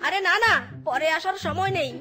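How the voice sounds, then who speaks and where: A woman's voice: very high-pitched, brisk. A woman voicing a cheeky, scheming little fox trickster in a cartoon, whiny and exclamatory. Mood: pushy begging. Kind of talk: cartoon voice acting. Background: music.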